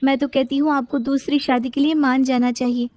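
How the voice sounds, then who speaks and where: A woman's voice: sits high, little pitch movement, fairly quick, a little mellow. A woman voicing a sympathetic, persistent young sister-in-law in a storybook tale, respectful and pleading. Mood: alarmed, pleading.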